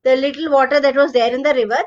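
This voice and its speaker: A woman's voice: high-pitched, dull audio. A woman with an Indian accent narrating a children's bedtime story expressively, drawing out and repeating words for emphasis. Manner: expressive, drawn-out repetition for emphasis.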